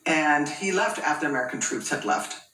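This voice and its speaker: A man speaking at a hearing, earnest and slightly halting. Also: on the high side, bright, forceful tone. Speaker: lawmaker at a hearing. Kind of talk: hearing remarks. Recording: echoey hearing room, distant mic.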